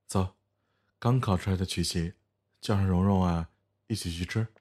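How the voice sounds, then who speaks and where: An actor playing an easygoing, teasing, smitten young cafe worker in a Chinese audio drama. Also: male voice, deep voice, normal speed, darkish tone, a little hiss or hum, close to an everyday voice. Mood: smug, cheerful.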